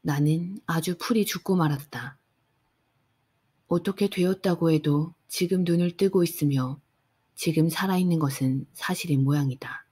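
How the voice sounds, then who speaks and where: A woman narrating a bedtime audiobook in a soft, unhurried way. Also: low-pitched, breathy edge. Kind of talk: calm bedtime audiobook reading.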